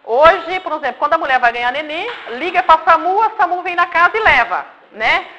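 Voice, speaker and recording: A woman's voice: extremely high pitch, animated, brisk, bright, forceful tone, highs cut off, a little hiss or hum. A woman in her forties from Paraná, telling her life story to a group of children in a simple, friendly way and often checking that they follow. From Paraná, Brazil. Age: 40s. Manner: simple explanations with frequent checks like "tá?" and "né?". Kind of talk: informal talk with questions from an audience.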